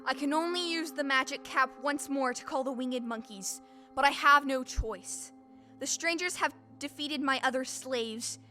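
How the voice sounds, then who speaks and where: A woman's voice: sits high, moderate pace, sharp tone. A woman playing a wicked, hot-tempered witch in a theatrical audio play, commanding and imperious. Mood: grim, resolved. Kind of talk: stage audio play acting. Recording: live stage show with music underneath.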